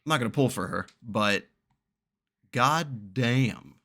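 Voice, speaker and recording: A man giving casual, excitable reaction commentary while playing a video game, swearing freely. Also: mid-range voice, slow. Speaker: game streamer reacting to a first playthrough. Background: faint game music.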